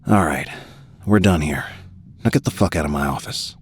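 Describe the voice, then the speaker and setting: An actor playing a gruff, fed-up older boss in an audio drama, stern, curt and sarcastic. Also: male voice, a bass voice, normal speed. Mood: grim, curt.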